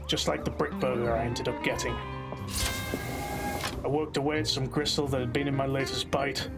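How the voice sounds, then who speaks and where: An actor playing a hard-boiled, self-important lawman, narrating a noir audio drama in a pompous, brooding deadpan. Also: mid-range voice, moderate pace, gravelly, over loud music, natural voice. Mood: wry, disgusted.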